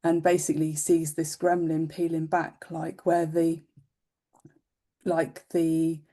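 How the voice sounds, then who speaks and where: A woman's voice: low voice, flat delivery, slow pace, mellow. A woman co-hosting a podcast, chatting informally in loosely phrased, unscripted sentences. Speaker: podcast co-host. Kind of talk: casual unscripted podcast chat.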